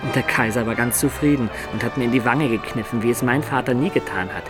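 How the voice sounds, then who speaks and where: A man's voice: mid-range voice, fast, over music, lightly acted. An actor playing a roguish man of peasant birth, narrating his life colloquially and reflectively in a radio play. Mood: animated.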